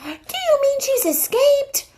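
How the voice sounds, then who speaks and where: Kindly, chummy storybook rescuers, acted out by a woman reading aloud. The voice is animated and often lifted high, full of brisk questions and exclamations. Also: full character voice. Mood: flustered. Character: kind, busy rescuers chattering, chummy British storybook soldiers.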